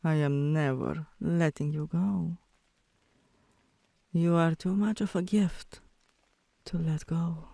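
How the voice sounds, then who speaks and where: A woman voicing a teasing, softly dominant tomboy girlfriend in an intimate ASMR roleplay, with a low voice that turns breathy at times and a coaxing, commanding manner. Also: fairly even intonation, takes it slowly, long pauses, no room sound, full character voice. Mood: affectionate.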